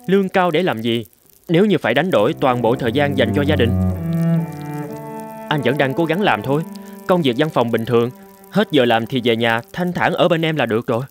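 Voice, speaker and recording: A man's voice: medium pitch, brisk, over music, lightly acted. Man acting an easygoing young cartoon husband. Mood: earnest. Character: easygoing, home-loving young husband, contented and mild. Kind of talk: cartoon drama dubbing.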